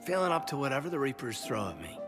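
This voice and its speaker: Guy acting a sincere soldier dude in a video game, soft spoken, pitch moves around a lot, music playing. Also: very animated, fast, lightly acted. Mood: relaxed, reflective. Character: earnest male soldier, adult, sincere, restless, quietly yearning. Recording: music behind the voice.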